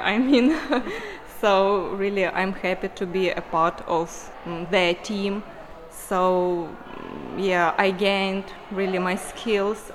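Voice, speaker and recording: Slow-spoken Ukrainian-accented woman. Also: mid-range voice, a little hiss or hum, rough recording. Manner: slow, hesitant, with filler hums. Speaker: employability coach and former student.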